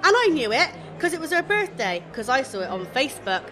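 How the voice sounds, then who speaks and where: A woman's voice: sits high, big pitch swings, a little fast, fairly bright tone, some chatter behind. A woman acting in a radio comedy as an aggrieved, self-assured shop assistant, chatty, grumbling and emphatic. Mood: defiant.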